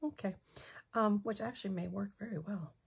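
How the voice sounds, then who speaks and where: A hoarse woman demonstrating a craft project in casual tutorial style, softly thinking aloud and drifting into whispered asides. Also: mid-range voice, fairly quick. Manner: soft-spoken, musing, drifts into whispered asides.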